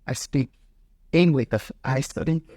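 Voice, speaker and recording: A man with a fairly high voice talks earnestly as a guest, halting now and then to find his words. His is Ndau. The recording is dry and close, with no room sound. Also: fairly even intonation, a little mellow, no room sound. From Mozambique. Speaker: guest, farmer and peace builder. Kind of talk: casual interview talk.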